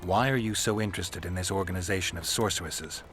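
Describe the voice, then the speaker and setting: An actor playing a stoic male monster hunter in a video game, terse and deadpan with dry, laconic delivery. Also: deep voice, fast, slight background noise. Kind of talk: video game dialogue acting. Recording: music behind the voice.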